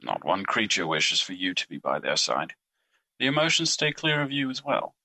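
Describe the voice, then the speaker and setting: A man's voice: low-pitched, sing-song, moderate pace, sharp tone, slightly rough, no echo, full character voice, poor audio. A man playing Guilt as a personified emotion in an audio drama, cold and judgmental, with formal, mocking, accusing delivery.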